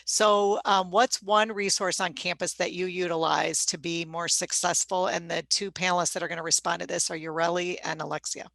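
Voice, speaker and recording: A woman's voice: on the low side, fairly even intonation, moderate pace, vocal fry, hardly any pauses, fairly bright tone, no echo. A woman moderating a student panel, encouraging and often restarting her sentences as she introduces each question.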